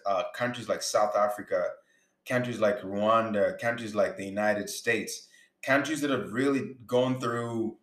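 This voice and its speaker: A man's voice: medium pitch, a bit bright. A man from Uganda giving an earnest motivational talk about faith and business, repeating words for emphasis. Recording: roomy echo.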